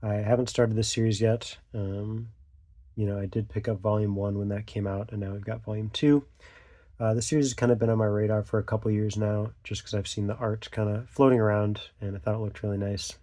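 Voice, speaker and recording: A young man with a low, mellow, stuffy voice, getting over a cold. He rambles through an unscripted vlog in a flat, even tone, full of ums and trailing thoughts. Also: a little fast. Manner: hesitant, many fillers and trailing thoughts. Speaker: channel host.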